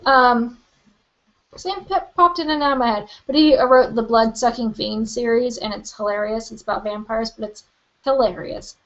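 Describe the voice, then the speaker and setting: A young woman hosting a live book Q&A from her webcam, chatty and rambling with lots of "like" and "um", her voice a little hoarse. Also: on the high side, moderate pace, a bit bright, muffled recording. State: slightly hoarse, losing her voice. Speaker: book vlogger. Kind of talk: live-stream Q&A.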